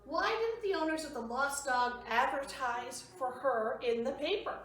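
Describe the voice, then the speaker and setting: A woman's voice: high voice, measured pace, a bit bright. A woman presenting a children's storytime, reading aloud and explaining things simply for young listeners. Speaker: library storytime host. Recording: very echoey room, distant mic, faint noise.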